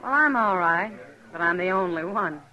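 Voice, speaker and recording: A frank woman of a western town in a vintage radio drama. Her voice is sharp and very bright, with an easy familiarity, on a dull old recording. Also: lowish voice, animated, moderate pace. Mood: frank, friendly. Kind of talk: 1950s radio western acting. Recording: muffled 1950s radio recording.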